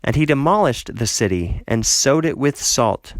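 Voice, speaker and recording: A man narrating a scripted Bible reading in a measured, solemn way. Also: mid-range voice, expressive, dry recording.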